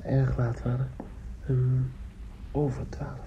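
An actor playing an earnest, respectful young son in a radio drama, speaking hesitantly and deferentially. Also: male voice, medium pitch, monotone, takes it slowly, natural voice. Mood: polite, cautious. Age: young adult. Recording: muffled, noisy old radio play recording.

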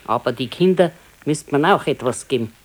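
An actress playing a practical, contented older wife in a naturalistic radio play, speaking matter-of-factly with a Bavarian accent. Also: female voice, sits low, animated, moderate pace. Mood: resigned contentment. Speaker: radio drama actor. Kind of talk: naturalistic 1970s radio play dialogue in dialect.

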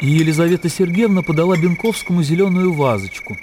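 A man narrating literary fiction for a radio drama in a measured, expressive storytelling manner. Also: a little high, noisy background. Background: music.

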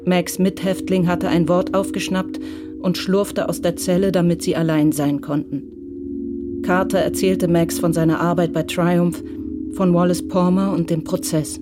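A narrator reading a radio crime drama in a measured, even way. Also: medium pitch. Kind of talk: scripted narration. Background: music.

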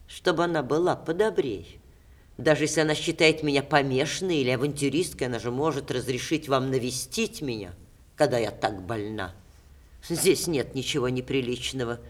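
An actress playing a witty, proud middle-aged stage star in a theatrical 1960s Russian radio play, expressive and teasing with dramatic pauses. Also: female voice, extremely low pitch. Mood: indignant, pleading.

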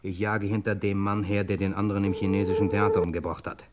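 An actor as a lowish-voiced tough police lieutenant. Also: male voice, level tone, fast talker, darkish tone, close to an everyday voice. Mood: hard, accusing. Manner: hard-boiled, needling, insistent. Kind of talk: German radio crime drama acting.

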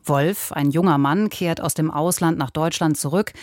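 A woman's voice: low-pitched, moderate pace, a little mellow, no room sound. Dry-sounding female radio announcer. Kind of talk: scripted broadcast introduction.

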